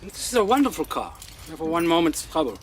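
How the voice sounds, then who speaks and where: A fussy henchman in a radio thriller, high-voiced and bouncing around in pitch. He is prickly and defensive, with faint noise and scene ambience behind him. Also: very animated, quick pace. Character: fussy, defensive male henchman, proud of his old car. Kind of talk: radio drama acting.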